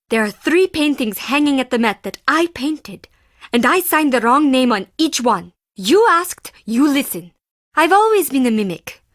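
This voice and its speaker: A woman's voice: sits high, a bit bright, dry recording, studio quality. An actress playing a timid, defensive woman artist and forger in a video game, with intense, theatrical delivery in clipped phrases. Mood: bitter, confessional, then defiant, upset. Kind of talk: scripted video game character dialogue.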